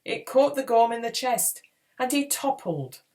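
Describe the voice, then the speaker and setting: A woman reading a children's adventure book aloud in a dramatic, expressive storytelling style. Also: on the high side, measured pace. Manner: expressive, acts out dialogue. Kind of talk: dramatic read-aloud narration.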